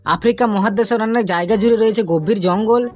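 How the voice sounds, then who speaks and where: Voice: mid-range voice, brisk, a little mellow, soft background music, natural voice. A performer voicing a cocky, mischievous schoolboy in a comic cartoon, boastful and recitational, with a Bengali accent. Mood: confident reciting.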